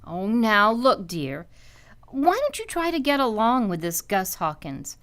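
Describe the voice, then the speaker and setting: A patient wife and mother in a golden-age radio comedy. Her voice is on the high side with expressive swings, and she chides and soothes in a homely way. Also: unhurried. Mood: coaxing. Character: sensible, patient, chiding housewife and mother. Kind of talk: old-time radio comedy acting.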